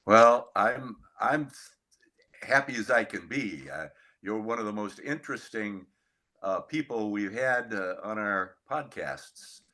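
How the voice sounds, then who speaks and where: Male podcast interviewer, slow and halting, with a sharp, bright tone. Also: on the low side. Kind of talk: hosting an interview.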